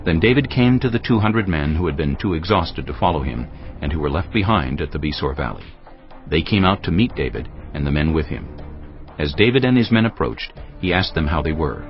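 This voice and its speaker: A man narrating dramatized scripture in a solemn, measured storytelling manner. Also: extremely low pitch, very animated. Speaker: Bible narrator. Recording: dull audio with music behind. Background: background music.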